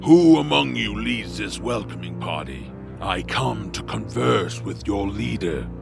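A man's voice: on the low side, animated, measured pace, exaggerated voice. A man playing a proud, scornful orc warrior in an audio drama, speaking formally and pompously over music. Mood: solemn, imposing. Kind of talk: family audio drama acting. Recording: voice under clear background music.

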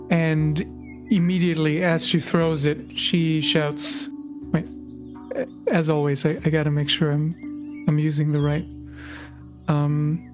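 A man playing a tabletop role-playing game, casually and haltingly narrating his character's actions. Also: on the high side.

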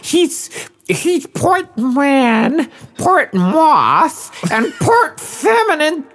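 A man's voice: extremely high pitch, sing-song, very slow, a bit bright, pitched above the performer's own voice, heavily acted. A man voicing a squawking, shifty chicken crook who stammers and pleads in a comic audio drama. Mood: shifty, nervous. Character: frantic, squawking male chicken crook, shifty petty criminal.

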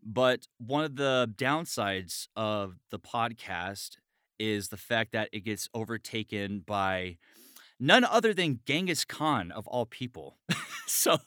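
A man's voice: mid-range voice, fairly bright tone, smooth, dry recording, clean studio sound. A man hosting a show in a casual, chatty interview style. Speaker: show host.

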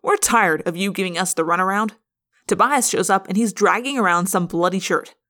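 A woman's voice: mid-range voice, brisk, fairly bright tone, close to an everyday voice. Woman voicing a sneering, sardonic teenage boy. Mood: accusing. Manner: sneering, pressing, sarcastic. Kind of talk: audiobook character voice.

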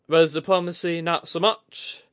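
Weathered, plain-spoken seafaring woman played by a male narrator, folksy and superstitious, lifted pitch, for a fantasy audiobook. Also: little pitch movement, normal speed, a bit bright, dry recording, studio quality, natural voice. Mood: wry. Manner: folksy, clipped dropped-g speech.

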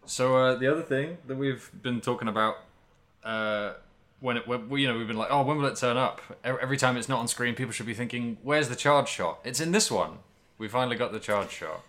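A man's voice: medium pitch, a little fast, fairly bright tone. A man co-hosting a podcast about video games, chatty and meandering as he thinks aloud in casual conversation. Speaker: podcast co-host. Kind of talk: casual video game discussion.

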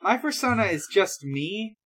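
A woman hosting a podcast, reading listener questions and chatting casually in a halting, hesitant way. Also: medium pitch, sing-song, fairly bright tone, husky. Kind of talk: casual chat.

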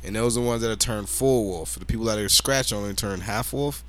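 A man's voice: fairly low pitch, brisk. A man co-hosting a podcast in casual banter, asking questions and joking crudely. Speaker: podcast co-host.

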